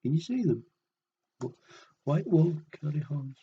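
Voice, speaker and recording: A man reads a picture-book story to small children in a soft-edged, slightly husky voice. He takes his time and swoops expressively through the sentences, adding questions and asides for his listeners. The recording is a little dull. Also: fairly high pitch, big pitch swings, slow pace. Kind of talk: animated story reading for young children.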